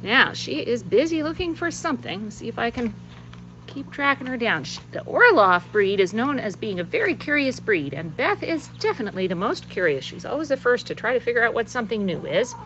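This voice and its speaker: A woman giving a gentle children's talk outdoors, explaining things in a clear, teacherly way. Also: fairly high pitch, big pitch swings, fairly quick, lo-fi sound, slight background noise. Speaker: children's story presenter at church. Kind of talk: children's lesson about her chickens. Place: outdoors with chickens in grass.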